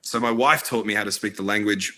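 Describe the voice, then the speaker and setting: A man's voice: on the low side, fast talker, bright, forceful tone. A man, born in Iraq, talking earnestly as a filmmaker guest in a casual interview, with lots of fillers and restarts. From Iraq, raised in Australia. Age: adult, married.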